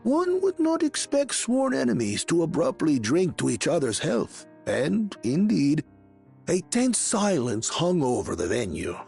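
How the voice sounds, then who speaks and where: Slow, gravelly, theatrical storyteller. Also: male voice, fairly high pitch, wildly swooping pitch, darkish tone, faint music behind, heavily acted. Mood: storytelling. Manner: formal, measured, theatrical delivery. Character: solemn male storyteller recounting a legendary banquet. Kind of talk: scripted narration in a fantasy video game.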